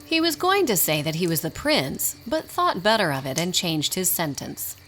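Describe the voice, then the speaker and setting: A woman narrator tells a story for a radio drama. Her voice sits a little low but moves expressively up and down, with soft music behind it. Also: very animated, normal speed.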